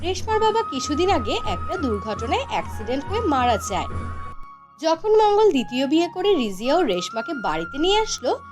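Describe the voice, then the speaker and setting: A woman's voice: sits high, very animated, a little fast. A woman narrating a scripted fairy tale for a cartoon, as a storyteller. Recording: music behind the voice. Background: background music.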